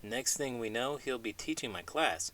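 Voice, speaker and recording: A man reading a children's storybook aloud with animated, dramatic emphasis, in the manner of an elementary school teacher. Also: mid-range voice, normal speed. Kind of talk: expressive storybook read-aloud with puppet banter.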